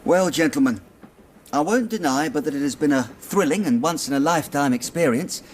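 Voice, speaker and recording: An actor playing an earnest, naive young Victorian English clerk in a radio drama, polite, hesitant and wordy. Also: male voice, on the high side, expressive, brisk, slight background noise, lightly acted. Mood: rueful, anxious.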